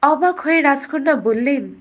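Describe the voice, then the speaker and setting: A woman with a fairly high voice narrates a fairytale. She delivers it as a scripted bedtime story, in the role of storyteller. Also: animated, moderate pace.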